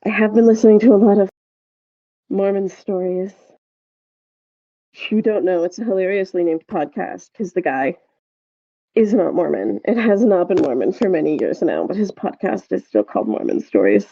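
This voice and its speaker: A woman co-hosting a podcast in casual chat, telling things in a rambling, halting way. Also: mid-range voice, lively intonation, dark tone, breathy edge, no room sound, highs cut off. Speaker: podcast co-host.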